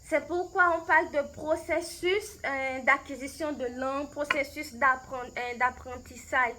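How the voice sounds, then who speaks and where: A woman's voice: high-pitched, steady tone, unhurried, noisy background. A woman from Benin with a Beninese French accent, a language coach answering interview questions in a thoughtful way, with many hesitations and restarts.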